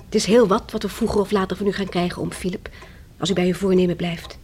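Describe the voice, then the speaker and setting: An actress playing an earnest, polite young heiress in a radio play. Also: female voice, fairly low pitch, fairly even intonation, fast, a little mellow, slight background noise, lightly acted. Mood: earnest, pleading. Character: Rosa, earnest young engaged heiress niece.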